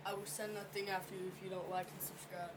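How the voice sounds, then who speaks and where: A woman's voice: medium pitch, little pitch movement, fast talker, distant mic. A woman giving casual, joking reaction commentary as a video host.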